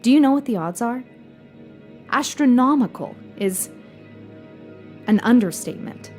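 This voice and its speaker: A woman playing a matter-of-fact space freighter captain, giving a measured official report in a scripted audio drama. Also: on the high side, animated, darkish tone, faint music behind. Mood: wondering, recounting. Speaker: narrator of a fiction podcast. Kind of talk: scripted first-person audio drama narration.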